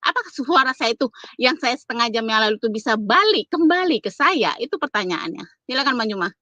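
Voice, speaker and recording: A woman's voice: a little high, animated, fast talker, pushed and bright, no echo, muffled recording. A woman in an informal online debate, insistently repeating her point and questions as she argues. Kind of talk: informal spontaneous argument. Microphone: phone on an online call.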